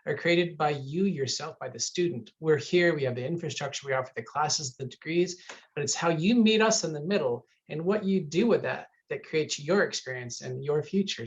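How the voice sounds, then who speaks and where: A man's voice: on the high side, dull audio. A man presenting a university webinar over a video call, chatty and upbeat with some fillers.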